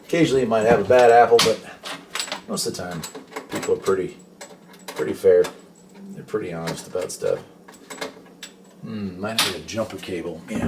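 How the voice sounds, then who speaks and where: A man giving a casual hands-on demonstration as a hobbyist, unhurried and thinking aloud. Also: lowish voice. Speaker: radio hobbyist presenter. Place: indoors beside a shortwave receiver. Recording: clear background noise, radio static.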